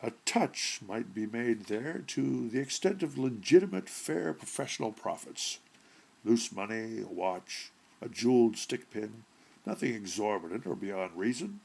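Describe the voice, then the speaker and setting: A man narrating a short story from a script with deliberate, theatrical delivery and a gravelly, husky voice. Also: lowish voice, sing-song, darkish tone. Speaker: audiobook narrator.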